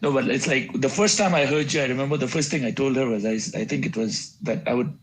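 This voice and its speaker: A man's voice: mid-range voice, quick pace, background noise. Podcast co-host: young man, ordinary mid voice, loose rambling delivery. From Bhutan. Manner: rambling, heavy use of filler words. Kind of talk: casual podcast chat.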